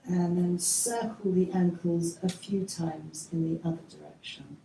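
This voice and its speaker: A woman leads a gentle yoga relaxation in a very soft-toned, mellow voice with noticeable creak. Her phrases trail off softly as she instructs. The sound is roomy and echoey, picked up from a distance. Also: on the low side, fairly even intonation, measured pace. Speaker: yoga teacher. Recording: distant mic, echoey room, faint noise.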